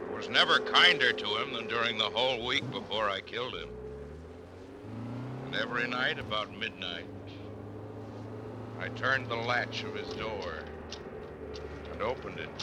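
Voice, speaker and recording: An actor playing a nervous, cunning, mad murderer confessing in a theatrical horror monologue from a classic radio play, swinging between loud declaiming and hushed whispers. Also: male voice, measured pace, pauses often, close to an everyday voice. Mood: feverish, insistent. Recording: noisy old radio recording, vehicle rumble. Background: vehicle noise from a driving game.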